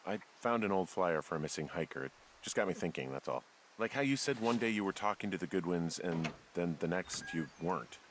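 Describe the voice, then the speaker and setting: A tired wry fire lookout in a video game, low voice, kinda awkward and hesitant. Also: male voice, lively intonation, fairly quick, noisy background. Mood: thoughtful. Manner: hesitant, dry-humored, low-key. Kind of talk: naturalistic video game voice acting.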